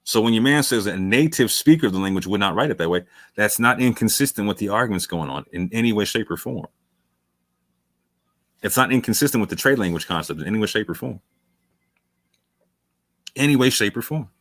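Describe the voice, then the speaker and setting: A man's voice: fairly low pitch, expressive, a little fast, pauses often, fairly bright tone, no room sound. A male talk show host giving long, emphatic commentary late in a livestream. He repeats phrases for stress, calls listeners fam, and sounds worn from hours on air.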